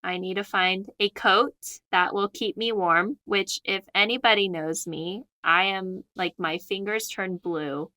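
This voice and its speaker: A woman's voice: mid-range voice, fairly even intonation, measured pace, hardly any pauses, bright, forceful tone. A woman in her twenties from California, a guest on a podcast, chatting casually with lots of fillers and giggles. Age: 20s. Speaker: guest, new veterinarian.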